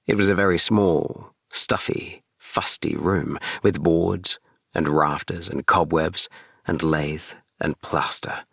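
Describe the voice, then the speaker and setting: A man narrating a bedtime audiobook in a gentle, soothing storytelling manner. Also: low-pitched, steady tone, slow pace, vocal fry, breathy edge, no room sound, clean studio sound. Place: small DIY recording booth.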